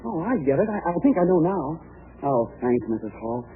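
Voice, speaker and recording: An actor playing an earnest, bashful young college student, stammering and deferential, in an old radio comedy-drama. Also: male voice, fairly high pitch, big pitch swings, brisk. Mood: grateful, earnest. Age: young adult. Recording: old narrow-band radio broadcast with music.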